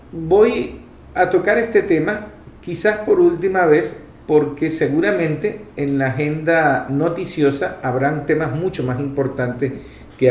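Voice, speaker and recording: A man's voice: on the high side, a little mellow. A man with a Venezuelan accent giving a political commentary monologue in an emphatic, didactic way, posing rhetorical questions. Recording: echoey room.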